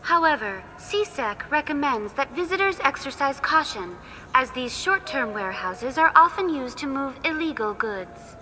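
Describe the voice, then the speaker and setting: A woman playing a polite, impassive virtual tour guide program in a game, speaking in formal, measured, instructive phrases. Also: high voice, sing-song, bright, forceful tone, exaggerated voice. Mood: courteous, impassive. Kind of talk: scripted informational guide narration. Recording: echoing hall, faint background noise.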